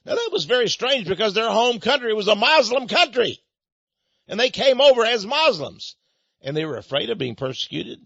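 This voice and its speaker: A man's voice: high-pitched, sing-song, moderate pace, vocal fry, pushed and bright, dry recording, highs cut off. A man hosting a radio talk show, delivering a rambling, sarcastic monologue straight to his listeners. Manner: sarcastic, mocking; rambling, addresses listeners as folks. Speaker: radio host.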